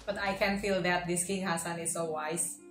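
A young woman giving casual, expressive fan commentary as host of a reaction video, speaking close to a desk microphone indoors. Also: fairly low pitch, normal speed. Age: young adult. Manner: expressive, excitable fan talk. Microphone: desk microphone on arm, close. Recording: roomy sound with faint music behind.

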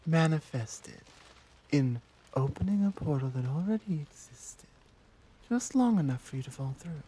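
Woman playing a slow, soft-spoken tigress monk. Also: extremely low pitch, husky, exaggerated voice. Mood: pondering. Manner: gentle, hesitant, earnest, with pauses. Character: caring, conflicted tigress warrior monk woman. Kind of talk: soft intimate ASMR roleplay.

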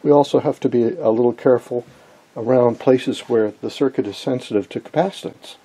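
Guy doing a casual electronics how-to, relaxed mellow raspy voice, explains stuff step by step. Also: mid-range voice, a little fast, rough recording. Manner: informal, explanatory, posing questions then answering. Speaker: electronics hobbyist presenter. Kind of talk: hands-on tutorial explanation.

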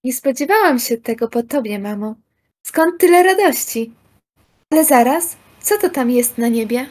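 A young woman playing a gentle, forgiving mother in an amateur audio drama, speaking earnestly. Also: high-pitched, expressive, normal speed. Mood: pleasantly surprised. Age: young adult.

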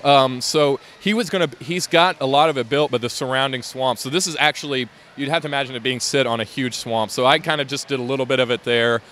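Man, mid pitch, rambling with fillers, light background noise, convention hall. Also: fast talker, few pauses, a bit bright. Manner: rambling, many fillers and restarts. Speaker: LEGO builder interviewed at a fan convention. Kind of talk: casual interview.

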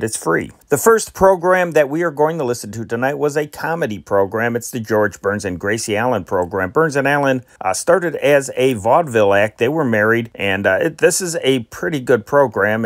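A man's voice: mid-range voice, dry recording, clean studio sound. A man with an American accent hosting and introducing programs in an informal, partly scripted style. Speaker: host. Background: music.

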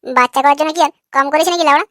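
A man doing a high, sharp, quarrelsome cartoon husband. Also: very fast, no room sound, clean studio sound. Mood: irritated. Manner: combative, crude. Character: irritable, foul-mouthed, quarrelsome young husband. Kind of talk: comic cartoon dialogue.

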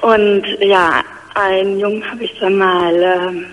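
A woman's voice: medium pitch, fairly even intonation, takes it slowly. A young woman calling in to a late-night radio show, speaking hesitantly with many fillers. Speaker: caller. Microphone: telephone. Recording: dull, muffled phone line with faint hiss and reverb.

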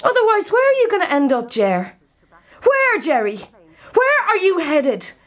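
A very high-pitched Irish girlfriend, exasperated and scolding. Her delivery is emphatic and animated, in naturalistic radio drama style, as she nags like someone at the end of her patience. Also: unhurried, exaggerated voice. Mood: exasperated pleading. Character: exasperated, nagging long-suffering girlfriend.

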